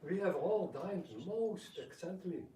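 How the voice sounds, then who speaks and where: A man's voice: high voice, unhurried, crowd noise. A man playing a gracious, thoughtful grandfather king, mild and musing, in a theatrical reading. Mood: contented. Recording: echoey room, distant mic, background noise.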